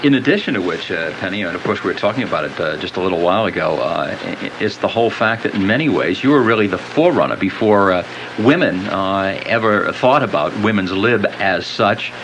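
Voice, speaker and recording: A man's voice: low voice, expressive, a little fast. A man hosting a radio interview in a conversational way, with hesitant, roundabout questions. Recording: noisy home cassette of radio broadcast.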